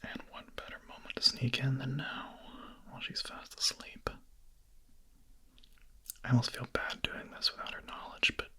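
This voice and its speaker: A man playing a hungry, nervous, lovestruck young vampire in an ASMR roleplay, speaking in a breathy, husky, hesitant whisper. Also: medium pitch, flat delivery, sharp tone, full character voice. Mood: furtive, yearning. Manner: hesitant, halting, confessional.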